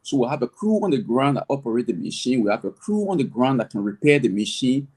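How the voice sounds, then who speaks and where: Middle-aged liberian guy, farmer on an interview, kinda husky, keeps restarting his sentences. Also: mid-range voice, big pitch swings, quick pace, darkish tone. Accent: Liberian English. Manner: earnest, explains at length, frequent restarts and repeated words. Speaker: farmer and agribusiness owner, interview guest. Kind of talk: conversational interview answer.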